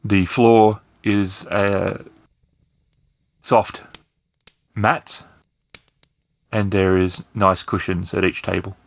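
Male game master, low register, dry sound, descriptive and a little hesitant. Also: little pitch movement, extremely slow, gravelly, pauses often, no echo. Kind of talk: narrating a tabletop roleplaying game.

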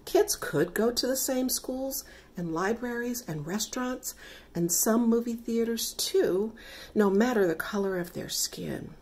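A middle-aged woman reading her own children's picture book aloud, with deliberate, expressive phrasing for young listeners. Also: a little high. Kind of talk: read-aloud children's story narration.

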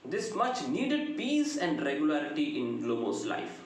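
A middle-aged man with an Indian accent giving a literature lecture, deliberate and emphatic, phrase by phrase. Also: a little high, huge pitch swings. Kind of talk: lecture summarizing a play. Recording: very echoey room, faint background noise.

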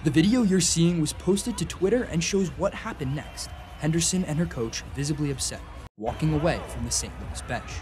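A man's voice: fairly high pitch, lively intonation, moderate pace, noisy background. A young adult man with an American accent reading scripted narration as a student TV news reporter.

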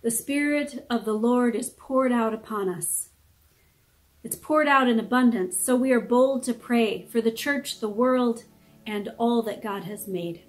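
A middle-aged woman reading liturgical prayers in a slow, measured, reverent way, recorded at home on a webcam. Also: fairly high pitch, rough recording. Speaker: prayer leader. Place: home room with brick wall.

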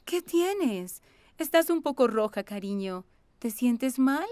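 A woman playing a caring young mother, tender and concerned yet firm, in an animated children's show. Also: fairly high pitch, takes it slowly, no room sound. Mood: worried.

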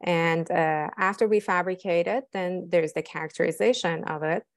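A young woman scientist walking through a cleanroom fabrication lab, explaining the tools with many fillers and pauses. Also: fairly low pitch, dry recording, studio quality. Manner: hesitant, many fillers, technical. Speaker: lab scientist giving a tour.

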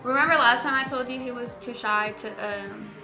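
A woman's voice: on the high side, brisk, over music, rough recording. A young woman from the Bay Area chatting casually with lots of "like" while doing her makeup. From San Francisco Bay Area. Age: young adult. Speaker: guest, beauty influencer. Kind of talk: casual get-ready-with-me chat.

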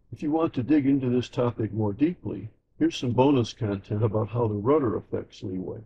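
A man giving a scripted sailing lesson as slideshow narration, in an even, measured explanatory way. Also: medium pitch, slightly rough, muffled recording. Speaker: sailing instructor.

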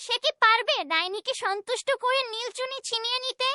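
A woman's voice: extremely high pitch, fairly quick, very noisy. A woman voicing a small childlike companion in a cartoon fairytale. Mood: inviting. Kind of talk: cartoon voice acting and narration.

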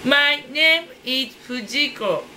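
A woman's voice: high voice, bright, forceful tone, a little hiss or hum. A woman in her forties with a Japanese accent, a native Japanese speaker, hesitantly repeating phrases in an online English lesson, recorded by a webcam at a desk in a small room. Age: 40s. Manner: hesitant, repeats phrases slowly while learning. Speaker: English learner.

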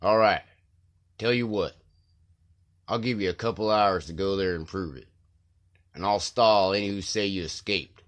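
Low-voiced, sardonic prison guard, played by a man. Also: normal speed, long pauses, natural voice. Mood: grudging. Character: gruff, weary prison cell guard, grudgingly helpful. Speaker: amateur voice actor auditioning. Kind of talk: acted video game dialogue.

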